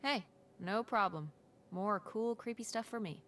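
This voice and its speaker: A woman's voice: mid-range voice, a little slow, lightly acted. Woman playing an eager, cheeky teenage girl, faint noise. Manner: playful, cheeky, expressive. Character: curious, eager, teasing teenage daughter. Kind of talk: naturalistic video game voice acting.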